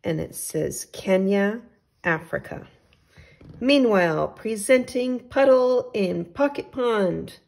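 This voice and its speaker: Unhurried woman reading to young children. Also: fairly high pitch, expressive, gravelly, a little mellow. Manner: explains pictures in simple asides for young children. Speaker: teacher reading a picture book aloud. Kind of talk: children's read-aloud with asides.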